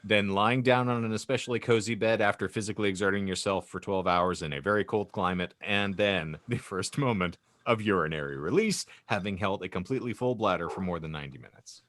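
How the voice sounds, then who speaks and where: A man's voice: on the low side, brisk, hardly any pauses, a bit bright, no room sound. A man hosting a casual comedy podcast with mock-grandiose, wordy phrasing. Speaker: podcast host.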